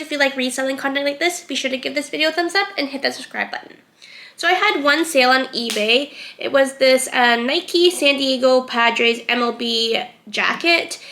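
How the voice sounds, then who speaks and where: Woman, getting over a cold, chatty with lots of ums, high-pitched. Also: pushed and bright. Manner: chatty, with frequent "um" and "like". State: recovering from a cold. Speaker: reseller vlogger. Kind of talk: casual vlog narration.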